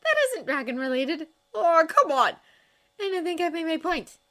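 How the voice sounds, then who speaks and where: A woman's voice: very high-pitched, animated, normal speed, a bit bright, pitched above the performer's own voice. A woman voicing a bossy, teasing young woman who coaches archery, in a fan fiction reading. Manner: teasing, instructive.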